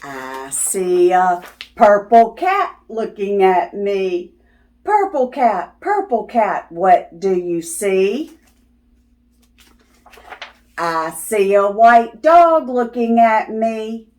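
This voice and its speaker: Woman reading aloud, slow and very lively. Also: mid-range voice. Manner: sing-song, repetitive phrasing addressed to young children; teacherly, asking questions and affirming answers. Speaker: host of children's read-aloud videos. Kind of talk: children's picture book read-aloud.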